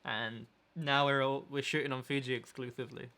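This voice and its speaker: A young man with a London accent chatting casually with his partner in a relaxed, wordy way, sometimes searching for words. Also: medium pitch, a little fast. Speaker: photographer and vlogger, co-host.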